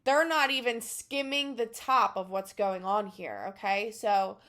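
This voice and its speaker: A woman's voice: mid-range voice, measured pace, a bit bright. A woman in her thirties with a Philadelphia accent, talking casually to camera as a spiritual channel, rambling with many fillers.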